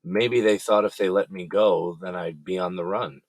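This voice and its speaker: A man's voice: sits low, fairly even intonation, moderate pace, no room sound, poor audio. A man playing an easygoing, teasing character in an audio drama, talking casually and jokingly as if with family.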